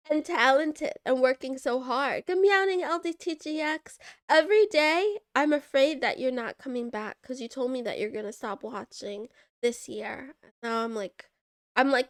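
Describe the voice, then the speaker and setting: A woman's voice: high-pitched, no echo, studio quality. A young woman streaming and chatting with viewers, playful and giggly with cat puns, her voice stuffy from congestion. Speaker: VTuber streamer. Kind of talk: casual livestream chat reading viewer messages.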